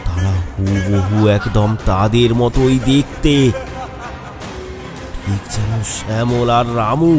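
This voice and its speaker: A man's voice: lowish voice, slow. A man narrating a scripted horror story in a suspenseful, dramatic manner, with music behind him.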